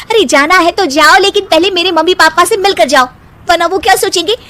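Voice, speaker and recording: A sharp-tongued young cartoon wife who keeps scolding her lazy husband, voiced by a woman. Her voice is very high and bright, with a piercing edge. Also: a little fast. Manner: scolding, nagging. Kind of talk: cartoon voice acting.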